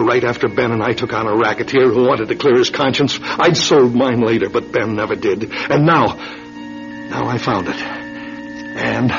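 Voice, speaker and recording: Gravelly, desperate confessor in radio drama. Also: male voice, medium pitch. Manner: halting, repeating words as if distraught. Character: anguished, desperate man confessing to his wife. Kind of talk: dramatic radio play acting. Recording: dull lo-fi radio recording, music behind.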